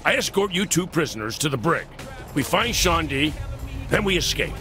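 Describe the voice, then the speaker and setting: A brash gang boss from a video game, bluffing his way along as an officer. His voice is on the high side and lively, with loud music behind it. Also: moderate pace. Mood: bossy, cocky. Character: cocky gang boss disguised as a military commander.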